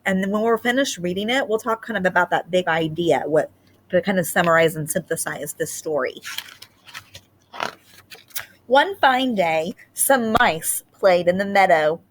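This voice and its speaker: A woman's voice: lowish voice, normal speed. A woman speaking as a teacher leading a children's read-aloud, explaining simply and reading expressively.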